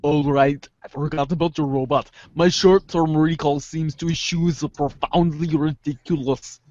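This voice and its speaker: A man reads as a high-pitched, analytical teen girl in amateur webcomic theater. She is sardonic and pompously wordy. The sound is dry and dull, like a lo-fi call. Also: fairly even intonation, normal speed, a little mellow, no echo, lightly acted. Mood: wry. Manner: pompous, elaborate wording.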